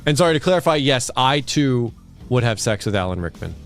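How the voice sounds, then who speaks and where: A man's voice: medium pitch, big pitch swings, fairly quick. A man co-hosting a livestream, reading a story aloud for comedy and breaking off into joking banter. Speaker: livestream co-host reading fan fiction aloud. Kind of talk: comic dramatic reading mixed with casual banter. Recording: music behind the voice.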